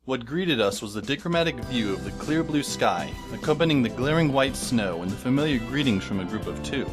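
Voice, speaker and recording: A man voices a wry teenage boy narrating an audio drama in a dry, deadpan way. His pitch sits in a middle range. Music plays clearly behind him. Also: fairly quick, natural voice.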